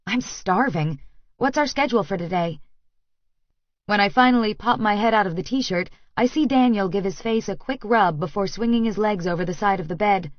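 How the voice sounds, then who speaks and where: A woman's voice: mid-range voice, a little fast, few pauses, no echo, muffled recording. A woman reading first-person romance fiction as an audiobook narrator, in a scripted storytelling style.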